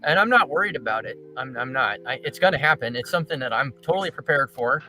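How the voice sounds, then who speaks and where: A man chatting casually on a call, animated and jokey with vivid exaggeration. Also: medium pitch, quick pace, faint music behind, poor audio. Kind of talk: casual group chat.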